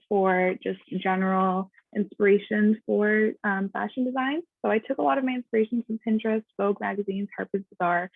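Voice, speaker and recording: Young woman, gravelly voice, tinny sound. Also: mid-range voice, dry recording. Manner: frequent "um" fillers, earnest and explanatory. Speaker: student fashion designer presenting her brand. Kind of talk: slide presentation talk. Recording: dry, tinny phone-like sound.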